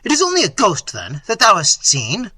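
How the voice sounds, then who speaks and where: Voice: medium pitch, huge pitch swings, unhurried, bright, forceful tone, breathy edge, dry recording, voice pushed up, exaggerated voice. A narrator voicing an imperious, hot-tempered prince in a storybook, giving commands in impatient, archaic language. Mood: furious, impatient.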